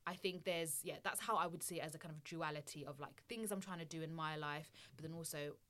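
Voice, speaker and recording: Low-voiced woman, filler-heavy chat. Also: fast talker, pushed and bright. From Somalia. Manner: thoughtful, lots of fillers. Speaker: guest. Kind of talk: casual conversation.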